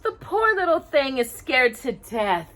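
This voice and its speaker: A woman voicing a kind young storybook heroine who can turn brisk, in an expressive, sing-song manner. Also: high-pitched, voice pushed up, exaggerated voice. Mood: kind, soothing. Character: kind young woman, gentle fairy-tale heroine, briskly bossy with animals.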